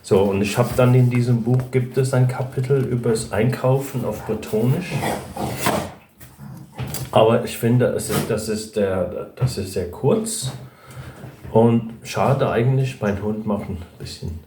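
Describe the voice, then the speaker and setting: A man's voice: medium pitch, unhurried, noisy background. A middle-aged man from Brittany, chatting casually in a vlog, hesitant and rambling with many fillers and restarts. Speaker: language vlogger. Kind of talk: casual chat about learning a language. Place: at home with a dog nearby. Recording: echoey room.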